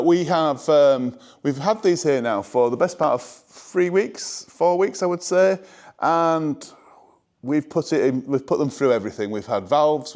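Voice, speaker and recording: A man giving an informal, enthusiastic but measured product presentation as a showroom presenter. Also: mid-range voice, a little mellow. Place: indoor hi-fi showroom.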